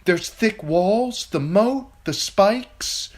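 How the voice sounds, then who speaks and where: A man voicing a frightened young noble girl who tries to calm a crowd. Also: high-pitched, extremely slow, pitched above the performer's own voice, exaggerated voice. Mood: reassuring. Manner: earnest, trying to soothe.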